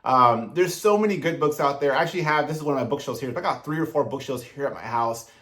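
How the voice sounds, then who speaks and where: A man's voice: medium pitch, fast talker, a bit bright. Earnest man giving self-improvement advice. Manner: earnest, direct, coaching tone addressing viewers. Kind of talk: motivational advice monologue to camera.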